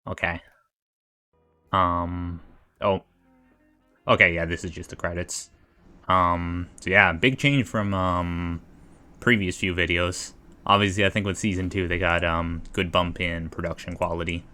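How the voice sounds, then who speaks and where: Low-voiced man rambling through casual stream commentary. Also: fairly even intonation, measured pace, long pauses, pushed and bright. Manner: hesitant, rambling, thinking aloud. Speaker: livestreamer.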